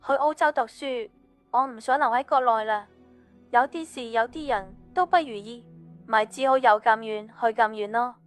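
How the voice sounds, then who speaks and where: A woman's voice: fairly high pitch, moderate pace, soft background music, lightly acted. A woman playing a wistful young female friend with a casual, understated manner, in an audiobook drama. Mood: wistful, hesitant.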